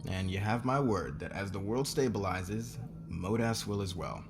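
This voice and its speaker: A man's voice: on the low side, normal speed, over music, natural voice. A man playing a curt, sarcastic, hot-tempered company boss in a fantasy ASMR roleplay. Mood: defensive, guarded.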